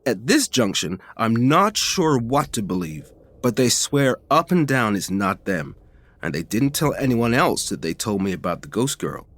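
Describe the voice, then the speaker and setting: A man narrating a first-person ghost story in an informal, expressive, conversational way, as a podcast reader. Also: medium pitch, normal speed.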